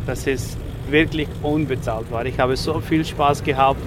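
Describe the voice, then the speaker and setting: A man in his forties from India, speaking halting with many fillers in a casual interview, outdoors on a city street. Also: medium pitch, fairly even intonation, background noise. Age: 40s. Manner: halting non-native German with frequent fillers. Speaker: interviewee, rickshaw driver.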